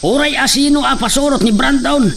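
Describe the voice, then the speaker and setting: A man's voice: very high voice, brisk, gravelly, a bit bright, exaggerated voice. An actor playing a stern, protective middle-aged farmhand father who warns his family, with some hiss behind him. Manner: stern, warning.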